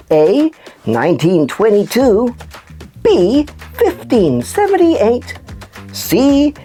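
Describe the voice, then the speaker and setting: An excitable puppet news host for a kids' show. The delivery swings wildly up and down in pitch, taking its time, theatrical and playful, with music behind. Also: female voice. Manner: theatrical, exaggerated, expressive. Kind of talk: children's educational presenting.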